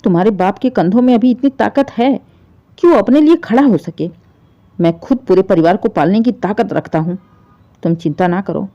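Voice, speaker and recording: A woman's voice: mid-range voice, normal speed, mellow, lightly acted. A woman voicing an elderly widowed father in a moral story, wounded, proud and resolute, speaking with emphatic feeling. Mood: firm, reassuring. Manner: emphatic, emotional pleading and scolding. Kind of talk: dramatised Hindi moral story. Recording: dull, muffled lo-fi audio.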